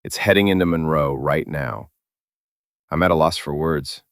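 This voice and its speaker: A man playing a very deep-voiced, grave weatherman. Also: normal speed, a bit bright, dry recording, clean studio sound. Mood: shaken, despairing. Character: shaken male stand-in TV weatherman. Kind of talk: live weather bulletin.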